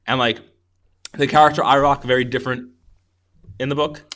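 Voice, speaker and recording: A man's voice: medium pitch, fairly even intonation, fairly quick, fairly bright tone. A man hosting a podcast, chatting casually about film in an enthusiastic, rambling way with some swearing. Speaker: podcast host. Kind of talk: casual film review chat.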